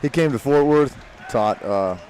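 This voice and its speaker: A man's voice: mid-range voice, darkish tone, a little hiss or hum. A man in his late thirties giving chatty, rambling mat-side commentary at a grappling tournament. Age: 30s. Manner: chatty, rambling, quick to laugh.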